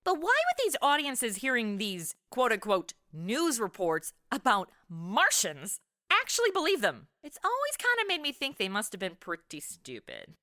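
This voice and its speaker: A woman hosting a podcast in a lively, scripted but conversational style. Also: on the high side, normal speed, dry recording, studio quality. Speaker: podcast host.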